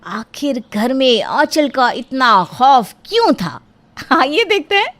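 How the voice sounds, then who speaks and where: A woman's voice: high-pitched, big pitch swings, slow pace, a bit bright. A woman giving scripted narration as a storyteller.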